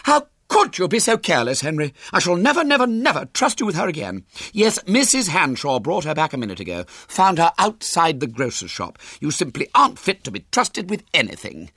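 A man's voice: mid-range voice, huge pitch swings, a little fast, fairly bright tone, slightly rough, dry recording, studio quality, pitched above the performer's own voice, exaggerated voice. A man voicing an exasperated middle-class English mother in a storybook, coaxing and scolding her son with emphasis.

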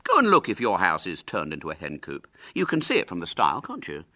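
A man's voice: low-pitched, wildly swooping pitch, fast talker, bright, forceful tone, dry recording, clean studio sound, heavily acted. A man voicing a sly, cool-headed schoolboy ringleader spinning tall tales in a children's story. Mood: solemn bluffing.

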